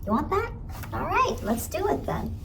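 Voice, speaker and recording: A woman voicing a cheery, eerie clown ice-cream vendor in a horror ASMR roleplay, softly coaxing and reassuring a child up close over background noise. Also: high-pitched, sing-song, normal speed, creaky, heavily acted. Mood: playful, reassuring.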